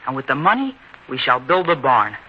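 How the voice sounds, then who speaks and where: A man's voice: medium pitch, very animated, a little fast, breathy edge. A man acting a proud, headstrong farm boy in an old-time radio drama, earnest and declamatory in his insistence. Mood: impatient, firm. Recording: dull, lo-fi radio recording with hiss.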